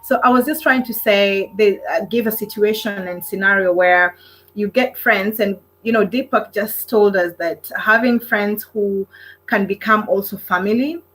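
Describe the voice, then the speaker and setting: A woman's voice: mid-range voice, moderate pace, a bit bright, slightly rough, rough recording. Effusive woman hosting a casual live stream. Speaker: host of a live online relationship panel.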